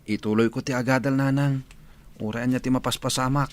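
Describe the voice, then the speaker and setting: A man's voice: mid-range voice, steady tone, fairly quick, close to an everyday voice. A man playing a determined, lovestruck young student in a radio drama, with a Filipino accent. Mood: earnest. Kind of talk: village radio drama acting.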